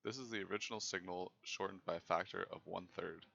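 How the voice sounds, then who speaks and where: A young man giving scripted technical narration as a presenter, in a measured, formal way. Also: deep voice, steady tone, vocal fry, pushed and bright, highs cut off, a little hiss or hum. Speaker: presenter of a student software project.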